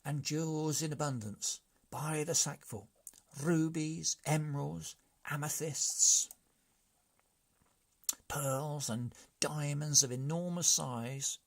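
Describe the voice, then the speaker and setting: A man's voice: on the high side, steady tone, takes it slowly, gravelly, pitched above the performer's own voice. A man voicing an eager, inventive brigand in a storybook, enthusiastic and coaxing as he tries to please. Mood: spinning a tale. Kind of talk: storybook character voice.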